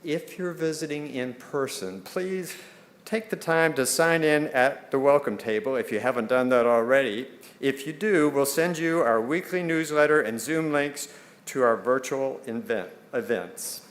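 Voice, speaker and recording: A man's voice: mid-range voice, a little slow. A man speaking to a congregation as a board member, welcoming visitors and reading out announcements. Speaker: church board trustee giving welcome announcements. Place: church service before a congregation.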